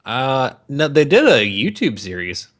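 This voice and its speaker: A man's voice: mid-range voice, very animated, measured pace, a bit bright. A man co-hosting a podcast, bantering casually about movies with plenty of swearing and sarcastic wisecracks. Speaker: podcast co-host.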